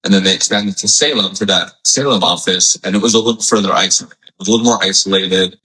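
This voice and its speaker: A man in his forties, from Hawaii, answers interview questions in a friendly, unhurried way, pausing on fillers. His voice sits very low yet sounds bright and forceful. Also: poor audio. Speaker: physical therapist guest. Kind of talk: casual interview.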